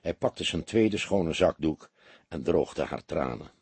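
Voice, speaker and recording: Very husky male audiobook narrator. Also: rumbling low, lively intonation, slow pace, a little mellow, no echo. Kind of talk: dramatic scripted narration of a detective novel.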